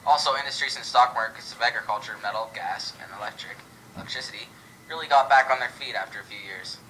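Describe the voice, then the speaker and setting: A man's voice: mid-range voice, quick pace, pushed and bright, a little hiss or hum, rough recording. A young man reading scripted lines as co-host of an amateur mock radio show. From Canada.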